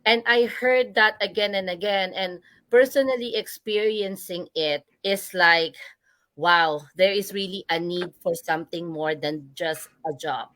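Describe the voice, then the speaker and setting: Woman, Filipino accent, forties, earnest, chatty, lowish pitch, dry close sound. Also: a little slow, fairly bright tone, no echo. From Philippines. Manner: earnest, chatty, often ends with "right?". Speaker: guest, business coach. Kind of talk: live interview, personal story.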